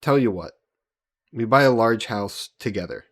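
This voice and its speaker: A man voicing an easygoing, teasing young adventurer hero in an intimate fantasy roleplay audio drama, casual and gently coaxing. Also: medium pitch, expressive, normal speed. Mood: persuading, casual. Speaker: voice actor.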